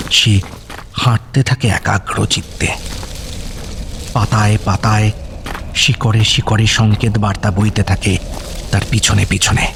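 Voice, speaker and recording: A man narrates a horror suspense audio story in a measured, dramatic way with deliberate pauses. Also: mid-range voice, a little hiss or hum. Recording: music under the voice. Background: background music.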